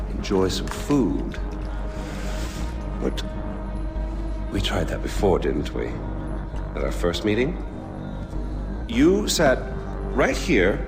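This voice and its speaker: An actor playing a smug, flamboyant male tyrant in a video game, sardonic and mock-courteous with deliberate pauses. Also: low-pitched, exaggerated voice. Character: smug flamboyant male tyrant, eccentric, polite yet menacing. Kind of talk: video game cutscene acting. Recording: dramatic music behind the voice.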